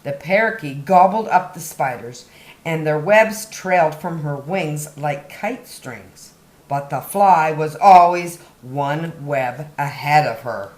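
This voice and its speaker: A woman hosting story time, reading a picture book aloud to young children with deliberate, dramatic emphasis on key words. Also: sits low. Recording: roomy, echoey indoor sound.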